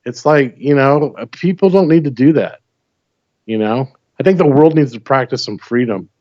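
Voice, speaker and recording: A man's voice: medium pitch, moderate pace, dry recording. A man from the United States, a call-in guest chatting casually and pressing his opinions with rhetorical questions over lo-fi call audio. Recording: dull lo-fi call audio, dry.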